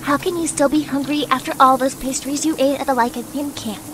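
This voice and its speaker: A woman's voice: sits high, fairly even intonation, fairly quick, a little hiss or hum. A woman playing a cheerful, adventurous, teasing young girl in an acted audiobook. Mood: eager, playful. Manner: bright, breathless enthusiasm.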